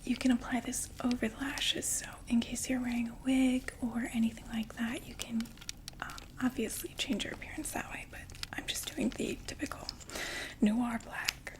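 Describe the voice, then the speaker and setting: A young woman voicing a chatty undead vampire makeup saleswoman in an ASMR roleplay, talking in soft whispered sales patter with fillers. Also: a little high, steady tone, normal speed, natural voice, poor audio. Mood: soothing.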